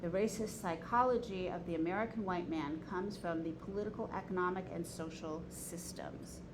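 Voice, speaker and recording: A woman's voice: on the low side, normal speed. A woman leading an informal lecture and group discussion in an opinionated, emphatic way. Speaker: discussion leader, teacher. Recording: noisy room recording.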